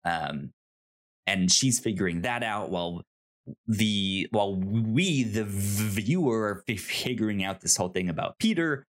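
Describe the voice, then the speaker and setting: Slow, halting man in casual discussion. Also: on the low side, animated. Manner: halting, stammering, repeats words while thinking. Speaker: podcast co-host.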